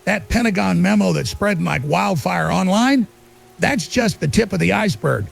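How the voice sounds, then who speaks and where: A man playing an alarmist conspiracy radio host, delivering an urgent, insistent monologue in a gravelly voice. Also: high-pitched, expressive, normal speed, exaggerated voice. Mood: urgent, accusing. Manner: urgent, insistent, addresses listeners as folks.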